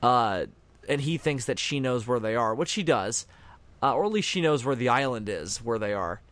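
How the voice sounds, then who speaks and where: A man's voice: mid-range voice, expressive, normal speed, fairly bright tone, no room sound. Explanatory male commentary host, dry close recording. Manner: explanatory, matter-of-fact. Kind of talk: casual fan commentary on a cartoon.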